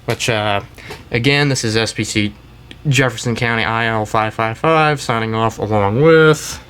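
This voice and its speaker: A man's voice: lowish voice, a bit bright. Rambling man hosting over light background noise. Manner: rambling and halting, trails off mid-thought. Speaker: video host and weather radio collector. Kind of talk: casual show-and-tell commentary.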